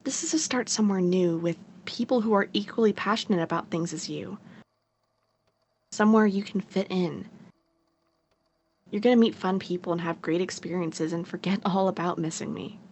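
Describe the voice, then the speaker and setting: An actress playing a teasing, caring young sister in a naturalistic audio drama. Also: female voice, fairly low pitch, moderate pace, a little mellow, slight background noise, lightly acted. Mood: gentle, earnest. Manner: playful, teasing, sarcastic.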